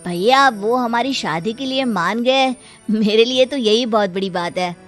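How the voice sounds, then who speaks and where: A woman's voice: a little high, measured pace, soft background music, lightly acted. Woman as a persuasive, polite young groom.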